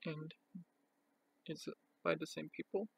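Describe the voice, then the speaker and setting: A man with a very gravelly, husky voice gives an unscripted show-and-tell. His pitch sits a little high and his delivery is plain and halting as he goes item by item. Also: fairly even intonation, fairly bright tone. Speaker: record collector presenting a collection.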